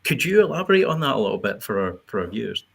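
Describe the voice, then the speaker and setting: A man moderating an unscripted online panel in a halting, discursive way with a husky voice. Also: on the low side, big pitch swings. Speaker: host of a panel livestream.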